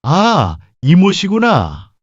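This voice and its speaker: A man's voice: high voice, wildly swooping pitch, extremely slow, breathy edge, no echo, lo-fi sound, heavily acted. A man playing a menacing, mocking street thug in a narrated story, with a swaggering, sneering manner.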